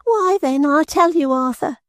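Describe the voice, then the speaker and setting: A man's voice: very high-pitched, measured pace, fairly bright tone, no room sound, clean studio sound, voice pushed up. A man reading an audiobook, voicing a frightened, superstitious servant woman with rustic, ungrammatical speech. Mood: anxious. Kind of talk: audiobook character voice.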